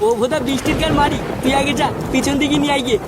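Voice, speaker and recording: A high-pitched, fast-talking cartoon gang leader as an actor plays it, crude and impatient. The bossy voice comes over very loud background noise. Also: little pitch movement, lightly acted. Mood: bossy, irritated. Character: bossy, crude cartoon village ringleader, impatient drinking buddy. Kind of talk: comic cartoon dubbing.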